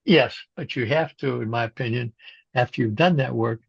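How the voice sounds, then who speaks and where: An older man with a gravelly, husky voice talks in a slow, reflective way. He often stops and restarts his sentences. The audio is dull and muffled, as if recorded on a basic setup. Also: medium pitch, no echo. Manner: halting, with frequent restarts and fillers. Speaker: guest, Jungian analyst and shamanic practitioner. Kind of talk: reflective interview conversation.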